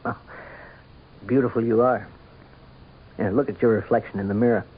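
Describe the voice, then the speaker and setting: An actor playing an adoring, jealous, earnest politician husband in a radio drama. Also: male voice, lowish voice, fairly even intonation, moderate pace, slightly rough. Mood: tender, jealous. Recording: tinny, faintly noisy old radio recording.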